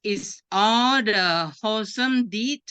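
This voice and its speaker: A woman's voice: medium pitch, takes it slowly, sharp tone, smooth, no room sound. A woman with a Thai accent, a Buddhist nun answering as a student in an online class. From Bangkok, Thailand.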